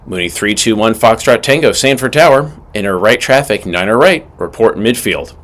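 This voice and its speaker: A man's voice: lowish voice, lively intonation, moderate pace, fairly bright tone. A man giving scripted instructional narration as an aviation instructor, methodical and emphatic in his delivery. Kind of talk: scripted instructional narration with acted radio call examples.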